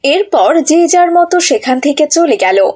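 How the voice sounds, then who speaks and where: A woman's voice: high voice, animated, measured pace, darkish tone. A woman reading scripted narration for a cartoon story.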